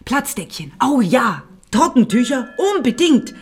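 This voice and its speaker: A woman playing a gushing, pushy publishing executive with a husky voice, selling in emphatic fragments in a radio drama. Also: on the high side, sing-song, measured pace, soft background music, full character voice. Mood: gushing, eager. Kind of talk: German radio drama acting.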